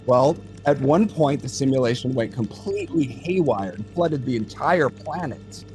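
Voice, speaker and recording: A man's voice: medium pitch, expressive, moderate pace, a little mellow, natural voice. Patient alien technician, a man playing him over music. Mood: explaining. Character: experienced alien data center technician, male, patient, thoughtful. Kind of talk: audio drama dialogue.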